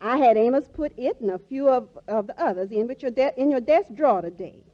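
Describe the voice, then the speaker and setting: An actress playing a sentimental, earnest middle-aged wife with a melodramatic, folksy way of talking in a 1940s radio comedy. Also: female voice, on the high side, a little fast, natural voice. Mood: fond. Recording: muffled vintage 1940s radio broadcast.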